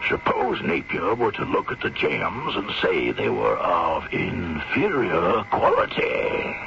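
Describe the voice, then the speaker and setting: An actor in a radio drama playing a sly, greedy frontier schemer with a gravelly voice and deliberate, courtly phrasing. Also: male voice, low voice, wildly swooping pitch, noisy background, full character voice. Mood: sly, scheming. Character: scheming greedy frontier man, sly, smooth-talking and calculating. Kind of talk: old-time radio drama acting. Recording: muffled radio recording with music.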